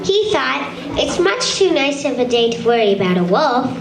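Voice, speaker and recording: A woman's voice: sits high, sing-song, moderate pace, music in the background. A female narrator reading a scripted children's story aloud for a puppet show, with small stumbles. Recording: echoey room.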